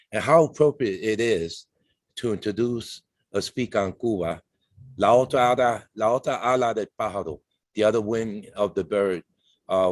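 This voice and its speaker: A man with a low voice hosts an event, introducing speakers in a halting, courteous and formal way. The sound is dry and close. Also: a little mellow, dry recording. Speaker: host, moderator.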